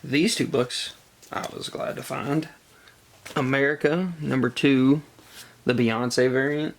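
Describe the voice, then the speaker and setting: A man with a congested, gravelly voice from a cold, chatting casually as he shows off a collection haul in a halting way. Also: mid-range voice, fairly even intonation. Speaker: comic book collector presenting a haul. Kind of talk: casual show-and-tell vlog chat.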